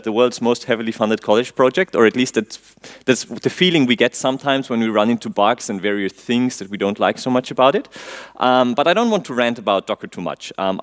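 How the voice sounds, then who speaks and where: Austrian man giving a tech talk. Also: medium pitch. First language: German. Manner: quizzes the audience, frequent "um" fillers, relaxed dry humor. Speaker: conference speaker. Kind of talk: technical conference talk. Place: conference stage before an audience.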